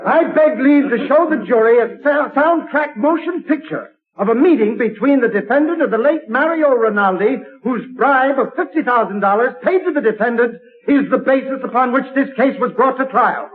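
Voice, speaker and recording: An actor playing a forceful male prosecutor, orating in court in 1930s radio drama acting. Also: extremely high pitch, expressive, moderate pace, a crowd in the background. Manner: declamatory courtroom oratory. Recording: tinny old radio broadcast.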